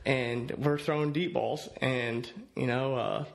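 Young man, 20s, gravelly, relaxed storyteller; podcast guest on a studio mic. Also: mid-range voice, takes it slowly. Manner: easygoing, repeats words while telling stories. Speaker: guest, college quarterback. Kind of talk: casual interview storytelling. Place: podcast studio at night, indoors. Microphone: studio mic on boom arm.

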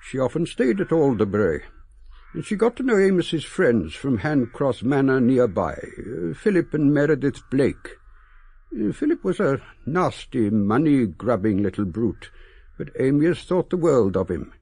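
An actor playing a sentimental, eloquent old English gentleman in a radio drama, reminiscing with wistful, theatrical, deliberate phrasing. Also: mid-range voice, sing-song, gravelly, slightly rough, dry recording, full character voice. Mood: frank, reminiscing. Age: older.

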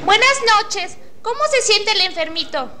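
Woman acting very high, bright young nurse. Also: lively intonation, moderate pace, heavily acted. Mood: brisk, reassuring. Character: young, brisk, reassuring female hospital nurse. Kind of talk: student radio drama acting. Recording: faint noise, amateur radio drama recording.

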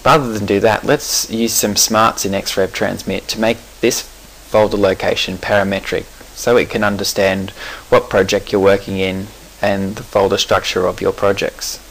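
A man talking through a software settings screen in a relaxed, explanatory way, pausing with fillers and correcting himself. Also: lowish voice, poor audio. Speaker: software tutorial presenter.

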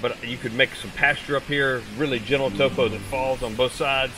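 A man's voice: medium pitch, steady tone, normal speed. A middle-aged man casually showing a wooded property outdoors, upbeat and informal like a salesman. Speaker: real estate agent. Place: outdoors in woods by a creek. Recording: distant outdoor camera audio with guitar music.

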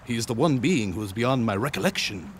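An actor playing an eccentric, cryptic gentleman in a video game, florid, formal and politely mocking. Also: mid-range voice, lively intonation, fairly quick, a little hiss or hum, lightly acted. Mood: cryptic warning. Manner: florid, formal, sing-song delivery. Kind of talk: theatrical video game voice acting. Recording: music under the voice.

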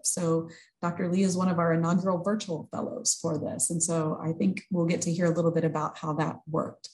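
A woman's voice: on the low side, a little mellow, rough recording. A woman in her thirties hosting a webinar with a semi-formal introduction, speaking over a video call from an office. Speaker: webinar host. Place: small indoor office. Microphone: video call, computer microphone.

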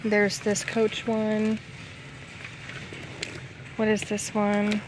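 Unhurried woman vlogging over store noise. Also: mid-range voice, monotone. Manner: unhurried, item-by-item commentary with pauses. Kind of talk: casual shop-with-me vlog narration. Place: retail store aisles, some in a parked car. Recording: clear background store noise and ambience.

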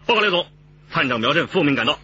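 An actor playing an eager, cheeky police detective with a brisk, bantering manner in a radio drama. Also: male voice, fairly high pitch, animated, natural voice. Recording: slightly dull, noisy recording.

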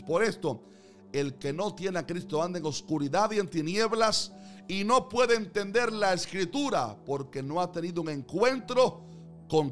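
Male preacher for a Bible sermon, slightly high-pitched, earnest and declamatory, with faint music underneath. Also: a bit bright. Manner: emphatic, repetitive phrasing in building lists.

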